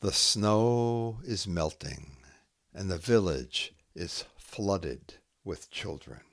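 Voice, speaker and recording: A man hosting a poetry podcast, reading scripted lines in a measured, deliberate way with long pauses between short phrases. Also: low voice, lively intonation, gravelly, fairly bright tone, dry recording.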